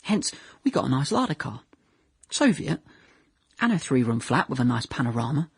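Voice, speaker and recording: An actor playing a naive young man in a radio drama monologue, recalling his childhood in a deadpan, chatty way, often correcting himself. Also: medium pitch, moderate pace, husky, no room sound, clean studio sound, full character voice. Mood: deadpan reminiscing. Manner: deadpan, chatty, self-correcting, trailing into "yeah".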